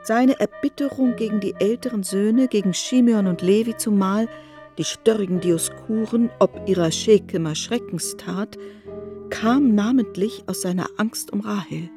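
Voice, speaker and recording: A woman reading scripted literary narration in a measured, formal manner. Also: mid-range voice, over music.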